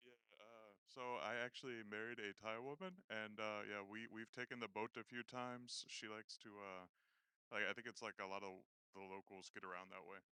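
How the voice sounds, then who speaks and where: A man speaks in a low, smooth voice with a bright edge and a dry sound. He is a novice presenter on a conference stage, informal and a little hesitant into a handheld microphone. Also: fairly even intonation, moderate pace, no echo, rough recording. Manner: hesitant, self-effacing. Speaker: first-time conference speaker. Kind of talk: informal unscripted stage talk. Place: conference stage at live event.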